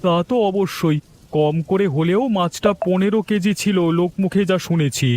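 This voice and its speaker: A man voicing a patient, kindly poor village father who fishes, speaking earnestly in an animated story. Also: high voice, normal speed, lightly acted. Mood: hopeful, patient.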